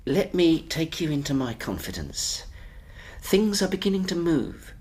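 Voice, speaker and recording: Slow-talking, pompous company boss. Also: male voice, fairly high pitch, very animated, darkish tone. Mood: confiding, avuncular. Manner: deliberate, patronising, theatrical. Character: pompous, avuncular male company boss, slyly jovial. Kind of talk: period radio drama acting.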